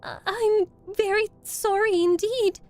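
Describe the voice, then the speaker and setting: A woman's voice: extremely high pitch, sing-song, very slow, a bit bright, soft background music, voice pushed up, heavily acted. A woman voicing an earnest, innocent young girl in a storybook reading. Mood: distressed, apologetic. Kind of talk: dramatic storybook character voice.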